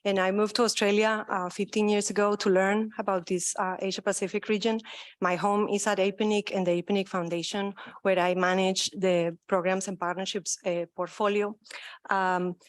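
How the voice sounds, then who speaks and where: A middle-aged woman with a Colombian accent and Spanish as her first language, moderating a conference session in a halting, informal way. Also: mid-range voice, little pitch movement, dry recording. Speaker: panel moderator. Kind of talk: conference presentation.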